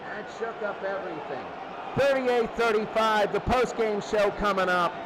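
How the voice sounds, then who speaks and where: A man's voice: sits high, moderate pace, crowd noise, noisy background. A man hosting a sports post-game show, recapping games in an emphatic way and repeating his phrases.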